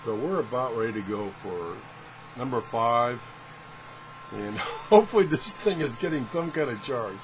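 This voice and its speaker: A man's voice: medium pitch, moderate pace. A man hosting a casual, hands-on product demonstration in a home workshop, talking in a rambling, folksy, self-deprecating way. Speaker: video host reviewing gadgets. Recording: steady fan and room noise.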